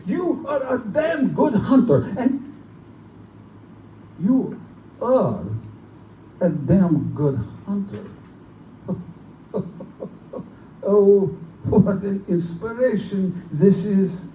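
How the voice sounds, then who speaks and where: A man's voice: high-pitched, big pitch swings, drawn-out words, long pauses, mellow, heavily acted. A man playing a genteel, pompous general who hunts men for sport, in a live theatrical horror drama. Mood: irritated, then excited. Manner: urbane, pompous, condescending. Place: live performance in a bookstore. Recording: distant, echoey live room recording with noise.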